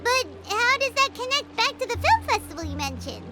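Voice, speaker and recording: A tiny, chirpy fairy girl, pitched very high and bouncing wildly up and down. She asks blunt, curious questions and talks about herself in the third person. Game music plays loudly behind her. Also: big pitch swings, a little fast. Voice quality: very high squeaky voice. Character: Paimon, small chirpy female fairy companion. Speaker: game companion character. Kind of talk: video game voice acting. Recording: game audio under music.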